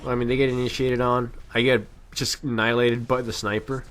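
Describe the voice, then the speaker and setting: Man, mid-pitched voice, casual gameplay commentary, amateur, rambling, self-deprecating. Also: little pitch movement, fairly quick, a bit bright. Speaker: video game commentator.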